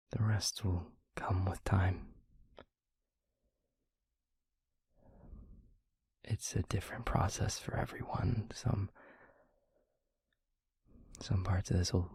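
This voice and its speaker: A man playing a gentle, protective vampire boyfriend in an intimate ASMR roleplay, speaking softly and reassuringly in a breathy half-whisper with hesitant pauses. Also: low-pitched, no room sound, clean studio sound, heavily acted.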